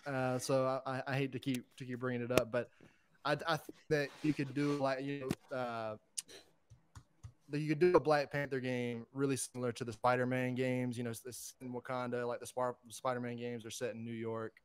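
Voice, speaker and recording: A man talking informally and conversationally as a podcast panelist, pitching his picks in a casual, enthusiastic pop-culture chat. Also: little pitch movement, brisk, background noise.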